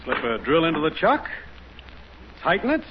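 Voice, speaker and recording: An actor playing a boastful, cheerful middle-aged neighbor in a 1950s radio sitcom. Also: male voice, on the high side, huge pitch swings, normal speed. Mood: eager, showing off. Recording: muffled, noisy old radio recording.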